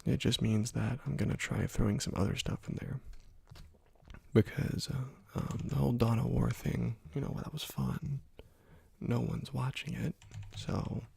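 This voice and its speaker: A young man talks in a soft, half-whispered voice as he plays, easy and unhurried. His tone is heavily gravelly and breathy-husky. Keyboard and mouse clicks sit quietly behind him. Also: mid-range voice, steady tone, no room sound. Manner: quiet, laid-back musing while playing. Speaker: gaming YouTuber. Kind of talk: ASMR gameplay commentary.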